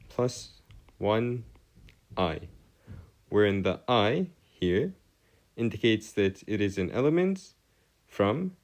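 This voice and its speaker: Low-voiced male math tutor, swooping intonation. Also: darkish tone. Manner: formal wording, halting, self-correcting. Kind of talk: improvised explanatory lesson at a digital whiteboard.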